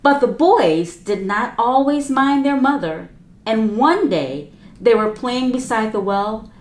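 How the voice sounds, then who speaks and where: A woman's voice: a little high, animated, slow pace, roomy sound. A woman reading a children's picture book aloud as a teacher, with chatty asides to young listeners. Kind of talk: storybook read-aloud.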